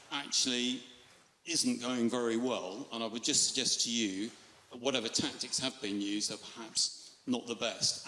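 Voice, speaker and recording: A man arguing in a live debate with measured, formal and courteous phrasing. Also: medium pitch, pushed and bright. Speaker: audience debater. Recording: echoey PA in large space.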